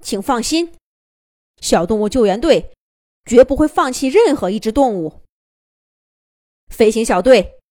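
Storybook rabbit team leader read by a woman, calm and soft-hearted, measured reassuring delivery. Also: fairly high pitch, no echo, clean studio sound. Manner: steady, reassuring, gentle. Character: calm, kind rabbit rescue captain, male. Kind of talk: children's storybook reading.

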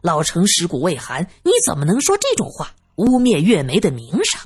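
Woman voicing an indignant, flustered man, animated pitch. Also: normal speed, slightly rough, soft background music, exaggerated voice. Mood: furious. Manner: stern remonstrating, flustered repetitions. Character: indignant grown man defending his honor, easily shaken.

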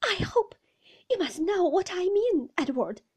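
A timid young wife in a classic storybook, with a very high, girlish voice that swoops with feeling. She falters and pleads plaintively, tearful and easily hurt. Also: very animated, unhurried, a little mellow, exaggerated voice. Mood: frightened, faltering. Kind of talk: audiobook character acting of a classic novel.

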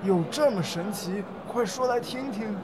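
A man's voice: sits high, moderate pace, background noise, lightly acted. A man playing a chatty, gullible elderly community resident in a radio play, eager and easily convinced. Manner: eager, credulous. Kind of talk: amateur legal-education radio play.

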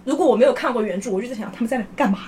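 A woman's voice: on the high side, racing, a little mellow, a little hiss or hum. A woman talking casually as a panelist on a podcast.

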